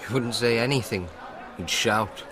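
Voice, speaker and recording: An actor playing a young, deferential detective's assistant in a radio drama, curious and dry, with a husky voice. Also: male voice, mid-range voice, normal speed, crowd noise, natural voice. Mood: questioning.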